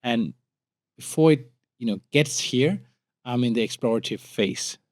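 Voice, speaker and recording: A man's voice: mid-range voice, unhurried, dry recording, clean studio sound. A man in his late thirties with a Danish accent, talking informally as a podcast guest and often repeating words as he forms his thoughts. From Denmark. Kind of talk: casual conversational interview.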